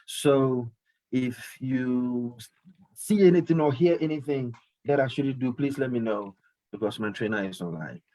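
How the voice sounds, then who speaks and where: A man's voice: medium pitch, measured pace, dark tone, rough recording. A man hosting a live call-in radio show, talking emphatically and often repeating his phrases. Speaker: radio host.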